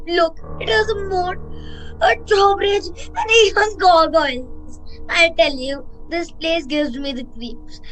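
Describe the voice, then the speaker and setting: A woman's voice: very high voice, a bit bright, low-quality recording. A woman playing a nervous, imaginative young boy in a radio play, anxious and protesting. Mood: spooked, pleading. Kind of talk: amateur radio play acting.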